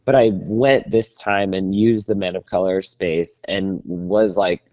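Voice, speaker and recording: A man with a fairly low voice chats casually as a podcast co-host. He talks in an animated, rambling way and breaks into laughter often. The sound is close and dry. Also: measured pace, dry recording. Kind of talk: casual chat.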